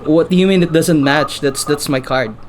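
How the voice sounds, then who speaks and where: A man from the Philippines with a Filipino accent talks casually and haltingly, a native Filipino speaker. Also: a little high, over a noisy crowd. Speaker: vlogger. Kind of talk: casual conversation and commentary.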